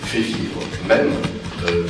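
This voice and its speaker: Low-voiced guy thinking out loud, lots of ums, far off in a big echoey room with music. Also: takes it slowly. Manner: hesitant, many fillers and restarts, thoughtful. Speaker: theatre translator. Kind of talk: reflective spoken talk.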